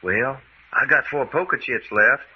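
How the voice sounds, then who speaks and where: An actor playing a folksy, plucky, protective adventurer in a radio mystery serial. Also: male voice, mid-range voice, measured pace, breathy edge. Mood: wry, concerned. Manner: drawling folksy speech, excitable, wry. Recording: lo-fi band-limited radio drama, faint noise.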